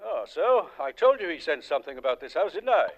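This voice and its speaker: A man's voice: a little high, sing-song, brisk. An actor playing a solemn psychic investigator doctor who reports his findings formally and clinically, in 1940s radio acting. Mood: brisk.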